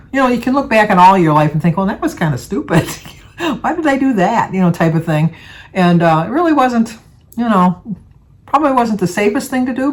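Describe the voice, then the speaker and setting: An older woman, in her seventies, speaks. Her voice sits at an average pitch for a woman. She talks casually as a vlogger would, drifting through small stories in a loose, conversational way. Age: 70s. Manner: rambling, chatty storytelling. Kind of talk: casual chat to viewers.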